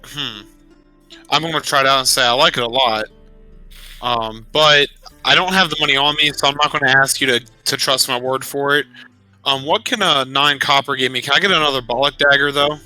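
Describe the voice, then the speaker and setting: A man's voice: medium pitch, fairly even intonation, a little fast, pushed and bright, dry recording, poor audio. A man chatting casually as a player in a tabletop game. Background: music.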